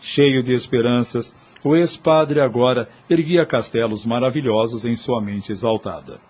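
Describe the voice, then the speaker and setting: A man's voice: mid-range voice, moderate pace, darkish tone, husky. A man with a Brazilian accent giving dramatic, solemn, literary narration of an audio novel.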